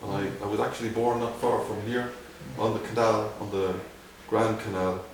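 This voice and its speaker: A middle-aged man with a Dublin Irish accent, answering interview questions in a halting way, with many restarts. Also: fairly low pitch, fairly even intonation, a little hiss or hum. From Ireland. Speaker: guest, doctor. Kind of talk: conversational interview. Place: museum room. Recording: echoey room, from a distance.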